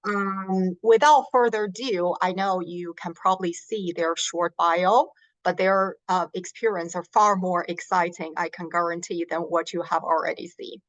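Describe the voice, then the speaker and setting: A woman hosts a career webinar over a video call. Her voice sits low, and the audio is dry and muffled. She talks in a friendly, chatty way with some hesitations. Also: fairly even intonation, moderate pace, no echo. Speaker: moderator.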